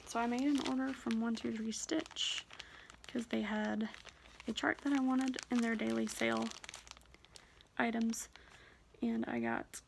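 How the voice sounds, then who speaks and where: Shy young woman talking soft and low, bit of vocal fry, rambly, faint hiss behind her. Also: expressive, measured pace, pauses often. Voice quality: soft-spoken, quiet voice. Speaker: cross-stitch vlogger. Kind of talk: casual show-and-tell vlog.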